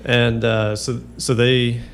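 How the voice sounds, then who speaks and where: A man as a doctor guest on a radio talk show, speaking haltingly with many fillers and restarts. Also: mid-range voice, flat delivery. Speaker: neurologist guest. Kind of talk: radio interview.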